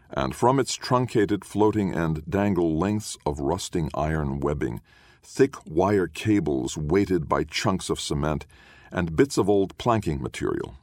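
Very deep-voiced man narrating literary fiction. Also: darkish tone, dry recording, clean studio sound. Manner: measured, deliberate, solemn delivery. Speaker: audiobook narrator.

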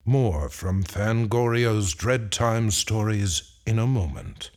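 A man's voice: fairly low pitch, animated, slow, a little mellow. A man reading scripted credits as a radio announcer. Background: music.

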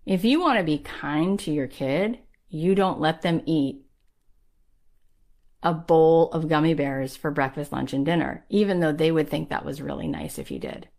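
A woman's voice: sits low, animated, moderate pace, gravelly, darkish tone. A woman in her thirties, a podcast host giving an emphatic, rallying motivational talk in a conversational way.

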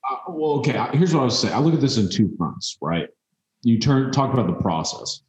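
Low dark-voiced guy, measured, muffled roomy audio. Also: animated. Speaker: podcast panelist. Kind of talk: casual sports chat.

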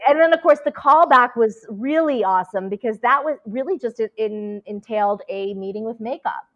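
A woman's voice: a little high, expressive, a little fast, a bit bright, highs cut off. A woman actress chatting as an interview guest, talking freely with many fillers and restarts. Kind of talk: casual interview chat.

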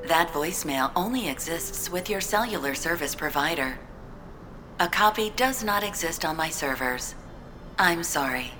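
A woman playing a polite, obedient AI assistant in an audio drama, speaking in formal, measured sentences. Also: deep voice, lively intonation, noisy background. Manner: formal, measured, matter-of-fact.

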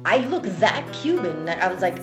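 A woman in her thirties hosting a casual chat, telling stories in a lively, chatty way. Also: on the low side, fairly quick, roomy sound, over music. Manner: lively, chatty storytelling with laughs.

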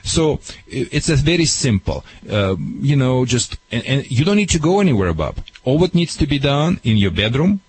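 A man's voice: mid-range voice, big pitch swings, normal speed, few pauses, husky. A man giving dog training advice to a radio caller, in broken, emphatic English.

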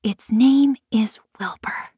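Breathy, dreamy little farm girl. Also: fairly high pitch, takes it slowly, dry recording, exaggerated voice. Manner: hushed, breathy wonder. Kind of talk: audiobook full-cast character acting.

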